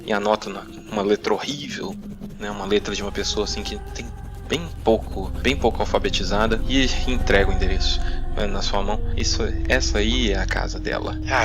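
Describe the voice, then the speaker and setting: Male Brazilian game master, low register, loose colloquial storytelling that feels its way along, clear music bed. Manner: hesitant, colloquial, full of tag words. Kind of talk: improvised tabletop RPG narration, casual.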